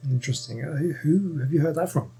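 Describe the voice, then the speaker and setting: A man's voice: on the high side, fast, vocal fry, dark tone, slightly rough. A man commenting casually over a game, musing aloud and hesitating, often restarting his sentences. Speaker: gameplay host. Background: game music.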